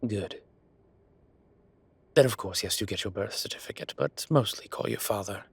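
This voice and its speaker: A man voicing an old, fatherly, whimsical boss, fond and gently insistent, with theatrical old-fashioned phrasing in an audio roleplay drama. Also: on the low side, moderate pace, creaky, breathy edge, no room sound, heavily acted. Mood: firm.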